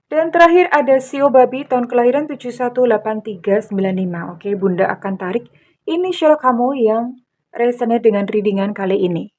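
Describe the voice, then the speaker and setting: A woman's voice: on the high side, a little fast. A middle-aged woman doing a tarot reading for her viewers, speaking affectionately and calling herself Bunda. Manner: affectionate, calls listener darling, refers to herself as Bunda. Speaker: tarot reader. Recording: loud electronic music behind.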